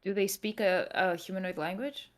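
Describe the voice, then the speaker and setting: A woman at a tabletop game talks at an ordinary middle pitch. Her lines sink to near whispers, then jump to loud protests. She speaks casually among friends. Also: normal speed. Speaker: player. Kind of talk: in-character tabletop roleplay.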